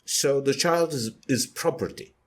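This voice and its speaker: Turkish-accented male guest, slow and hesitant, lively pitch. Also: husky. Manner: slow, hesitant with many fillers. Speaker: guest author. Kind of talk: podcast discussion.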